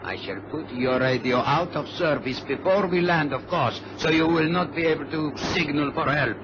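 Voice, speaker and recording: A man's voice: fairly high pitch, normal speed, close to an everyday voice. Man playing a courteous, menacing hijacker. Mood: cool, commanding. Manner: courteous, coolly threatening. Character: polite, menacing Latin male hijacker with a gun. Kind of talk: radio drama acting. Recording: noisy, dull vintage radio recording.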